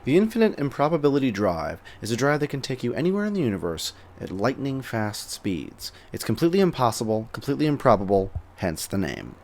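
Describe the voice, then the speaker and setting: A man's voice: mid-range voice, sing-song, a little fast, hardly any pauses, darkish tone. A man narrating scripted explainer segments for a geek culture show.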